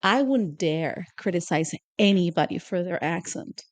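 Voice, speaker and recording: A woman's voice: lowish voice, animated, a little slow, no echo. A woman co-hosting a podcast in casual, opinionated chat, often asking "you know?". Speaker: podcast co-host.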